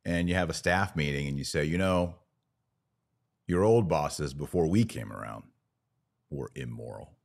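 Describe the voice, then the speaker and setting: A man's voice: very deep voice, animated, moderate pace, gravelly, long pauses. A man hosting a podcast, giving conversational religious commentary with emphatic, repeated phrasing. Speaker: podcast host.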